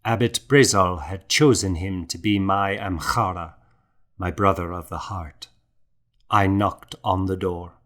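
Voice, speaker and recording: A man narrating a historical novel as an audiobook in a measured, deliberate, hushed manner. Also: sits low.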